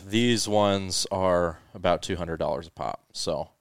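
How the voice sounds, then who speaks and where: A man with a low, silky voice talks casually through his podcast gear, loose and relaxed, with lots of ums and uhs. His tone is rich and resonant. The sound is dry and close with no room echo. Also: a little slow. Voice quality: smooth voice. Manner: relaxed, rambling, many fillers. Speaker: podcast host. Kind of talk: casual explanatory gear talk.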